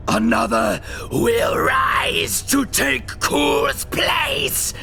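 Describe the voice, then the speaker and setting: Fanatical chaos cultist villain for a fantasy game, harsh forceful rasp, swings wildly up and down, totally over the top. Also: male voice, very high-pitched, wildly swooping pitch, soft background music, full character voice. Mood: defiant, raging. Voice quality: gravelly, harsh. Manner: bombastic, ranting, drawn-out theatrical delivery. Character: fanatical male human champion of Chaos and plague-god worshipper. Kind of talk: dramatic fantasy video game acting.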